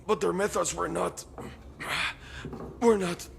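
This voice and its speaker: A man playing a battered, sardonic rebel prisoner, halting and gravelly. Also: sits high, fairly even intonation, exaggerated voice. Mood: pained, bitter. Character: battered, freed male rebel thinker, sardonic. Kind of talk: dramatic game cutscene dialogue. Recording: clearly noisy background.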